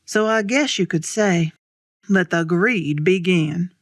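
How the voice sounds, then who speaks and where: A woman's voice: lowish voice, slow, no room sound, studio quality. An actress playing a proud, wryly knowing woman narrator, delivering scripted narration in a stage play. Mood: wry, composed.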